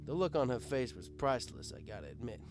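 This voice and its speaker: A man's voice: mid-range voice, sing-song, quick pace. A man narrating a first-person story as a bartender, conversational and wry. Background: guitar music.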